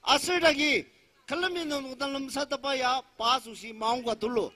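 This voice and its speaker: Rally interpreter, male. Strained-high pitch, sharp projecting tone, declamatory phrase-by-phrase delivery. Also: steady tone, fairly quick, a little hiss or hum. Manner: declamatory, emphatic. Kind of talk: loud public speech, translating phrase by phrase.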